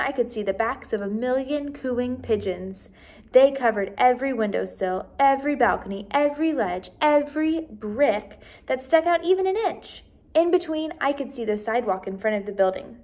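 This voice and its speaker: A woman in her twenties reading a children's book aloud as a teacher, with expressive, clearly paced narration. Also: on the high side, moderate pace. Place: small decorated indoor room.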